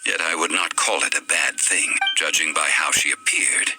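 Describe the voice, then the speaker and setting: A man voicing a calm, dignified ancient god in human guise for a video game, speaking in a measured, formal, solemn way. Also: a bass voice, over music. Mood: reflective.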